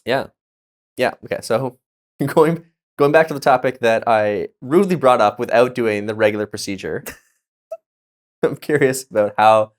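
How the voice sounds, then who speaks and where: A man hosting a podcast in casual chat, playful and curious, asking his guest questions between laughs. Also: fairly low pitch. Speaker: podcast host.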